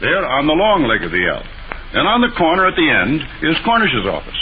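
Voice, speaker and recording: Quick-talking businessman, noisy old radio. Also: on the high side, huge pitch swings, phone-quality sound. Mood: matter-of-fact. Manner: matter-of-fact, quick explaining. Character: brisk, obliging businessman explaining himself smoothly. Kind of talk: old-time radio drama acting.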